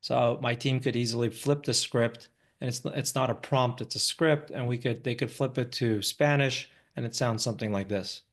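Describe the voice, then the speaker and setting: An American man speaks as an investor giving a live, informal talk. His voice sits at an average pitch. He explains things conversationally and often checks that listeners follow. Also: steady tone, moderate pace. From Los Angeles, United States. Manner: conversational, frequently checks in with "right?". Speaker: venture capital investor giving a presentation. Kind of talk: informal live startup presentation.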